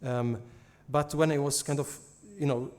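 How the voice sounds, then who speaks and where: A man moderating a book launch interview, asking thoughtful, halting questions. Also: medium pitch, fairly even intonation, a little mellow.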